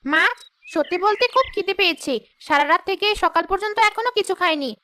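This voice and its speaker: A woman voicing a greedy, sly female river ghost who schemes aloud in an animated folk tale. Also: very high-pitched, brisk, slight background noise, full character voice. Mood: hungry, plotting. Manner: scheming, talking to herself.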